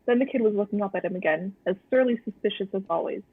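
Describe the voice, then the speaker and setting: A woman with a husky, breathy edge reads a story in a casual, understated way. Her delivery is deadpan, and the sound is close, dry and a little lo-fi. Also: medium pitch, quick pace, dry recording. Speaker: co-host of a fan fiction read-aloud stream. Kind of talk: story reading and casual chat.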